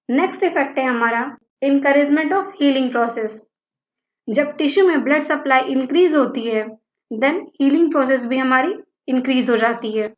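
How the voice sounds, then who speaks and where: A woman with an Indian accent delivers a scripted lesson in a high voice. Her instructional delivery is very slow. The recording is roomy and echoey. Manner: mixes Hindi and English, instructional. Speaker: physiotherapy lecturer. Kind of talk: scripted educational narration. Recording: dull lo-fi audio, roomy echo.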